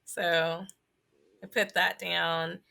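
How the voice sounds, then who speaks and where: A woman's voice: on the low side, level tone, extremely slow, gravelly, bright, forceful tone. A woman podcast co-host in casual chat about games, informal and sweary, peppering her speech with "like".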